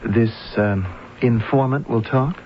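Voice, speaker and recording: A man's voice: mid-range voice, slow pace, lightly acted. An actor playing an uneasy, questioning civilian man on a search in a radio drama, speaking conversationally with slight hesitation. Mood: doubtful. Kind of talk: vintage radio drama acting. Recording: dull, very noisy old radio recording.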